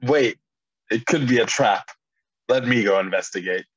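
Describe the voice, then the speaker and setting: A man playing a wary, calculating wizard with stern, formal phrasing in an animated fantasy table read. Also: medium pitch, normal speed, husky, no room sound, muffled recording, natural voice. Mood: suspicious.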